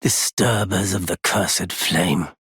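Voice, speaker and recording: A husky, slow-spoken wandering merchant. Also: male voice, sits low, fairly even intonation, a bit bright, no echo, studio quality, full character voice. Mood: menacing. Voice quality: husky, gravelly. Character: wary, courteous nomadic male merchant turned bitter and vengeful. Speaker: video game voice actor. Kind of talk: scripted game character lines.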